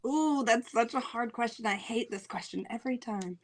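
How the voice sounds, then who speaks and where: A woman singer talking casually in an interview, chatty, laughing often, with many "um" pauses. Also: fairly high pitch.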